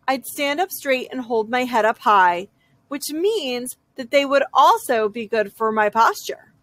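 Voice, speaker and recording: High-pitched woman reading a picture book. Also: expressive, fairly bright tone, no echo. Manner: dramatic, drawn-out emphasis on repeated phrases. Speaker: read-aloud storyteller. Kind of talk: expressive children's picture-book read-aloud in a young girl's first person.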